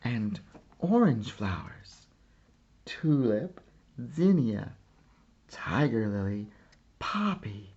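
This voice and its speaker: A man reading a children's picture book aloud like a teacher, with deliberate, expressive phrasing and pauses. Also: on the low side, breathy edge, highs cut off. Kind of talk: slow children's storybook read-aloud.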